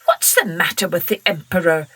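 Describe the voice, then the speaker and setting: A woman voicing a small, worried young male duck who talks in a high, anxious, pleading way. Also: normal speed, sharp tone, breathy edge, no room sound.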